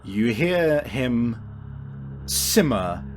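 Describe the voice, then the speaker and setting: A man running a tabletop game as game master, narrating casually in an informal, halting way with the odd swear word. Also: medium pitch, big pitch swings, a little hiss or hum.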